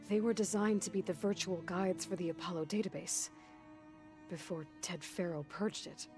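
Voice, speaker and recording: A determined young warrior woman played by an actress speaks in a measured, guarded way, natural as everyday talk. It is game cutscene dialogue with clear music playing underneath. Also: on the low side, steady tone, darkish tone, natural voice. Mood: matter-of-fact. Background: music behind the voice.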